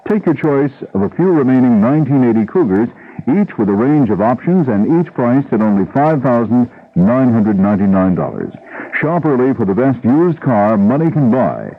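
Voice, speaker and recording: A man reading a scripted, emphatic sales pitch as a commercial announcer on an old radio broadcast. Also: narrow, tinny audio.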